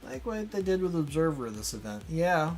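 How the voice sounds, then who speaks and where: A man's voice: fairly high pitch, big pitch swings, brisk, faint music behind. A man reading a visual novel aloud, mixing dramatic narration with casual asides.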